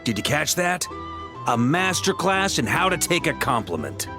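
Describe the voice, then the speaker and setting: Game casting: a playful, caring male grandmaster, hugely animated delivery, music underneath. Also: a little high, moderate pace. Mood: playful, hearty. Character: jovial, caring male grandmaster teasing a younger man. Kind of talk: video game voice acting.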